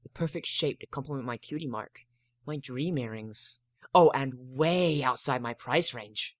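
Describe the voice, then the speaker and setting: A man's voice: medium pitch, a little slow, dry recording, clean studio sound. A man giving a dramatic first-person reading of a story as narrator.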